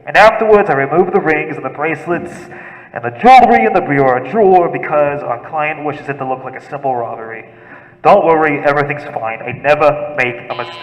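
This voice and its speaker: A man plays a cold, cocky hired killer in a radio thriller. His fairly high male voice is curt and matter-of-fact, echoing through a hall with long reverb. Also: a little fast, close to an everyday voice. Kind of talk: old-time radio thriller acting.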